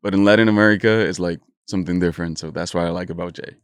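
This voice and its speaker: A young man in his early twenties from Honduras, with Spanish as his first language. His voice is fairly low and very clean and smooth. He chats casually and playfully as an interview guest, recorded dry. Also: steady tone, rapid-fire, no echo. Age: early 20s. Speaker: podcast guest, content creator.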